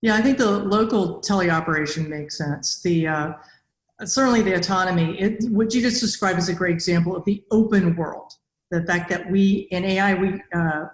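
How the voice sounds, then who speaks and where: A middle-aged woman hosting a podcast interview in a conversational style, recorded over a video call in an echoey room. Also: fairly low pitch. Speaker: podcast host, robotics academic. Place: indoor room with bare walls.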